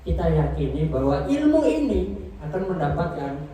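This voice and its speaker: A man's voice: fairly high pitch, very animated, normal speed, warm tone, a little hiss or hum. A man from East Java introducing himself at a gathering in a joking, chatty way. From Malang, East Java. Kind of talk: casual self-introduction. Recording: echoey, from far off.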